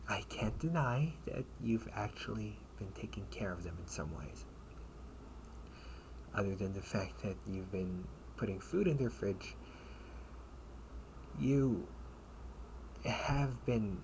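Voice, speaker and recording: A man playing a protective, sardonic older brother in an amateur audio roleplay, speaking in slangy, halting phrases with casual menace. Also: on the low side. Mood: stressed, threatening, then grudging. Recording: noisy amateur home recording.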